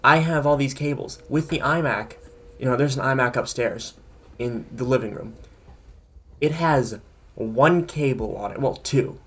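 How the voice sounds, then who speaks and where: A man's voice: mid-range voice, unhurried, fairly bright tone, husky. A man co-hosting a casual tech podcast, opinionated and rambling as he thinks aloud. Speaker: podcast co-host.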